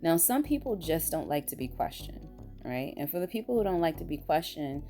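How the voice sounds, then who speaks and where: A woman vlogger with a raspy voice, sounding tired, talking in a rambling, blunt and emphatic way. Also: deep voice, very animated, a little fast, mellow, faint music behind. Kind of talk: casual opinion monologue.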